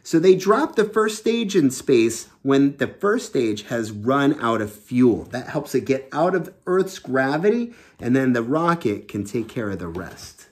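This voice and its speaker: A man's voice: mid-range voice, sing-song, measured pace, few pauses. A man in his thirties reading a children's book aloud and explaining it simply and expressively as a teacher. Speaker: children's storytime teacher and host. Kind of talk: storybook read-aloud with explanations for kids. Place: small indoor home room.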